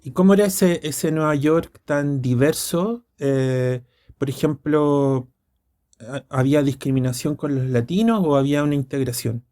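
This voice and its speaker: A man's voice: fairly high pitch, a little slow, mellow. Fields: upbeat chatty Chilean host, man. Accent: Chilean Spanish. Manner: upbeat, chatty, stumbling on repeated words. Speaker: podcast host. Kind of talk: podcast interview.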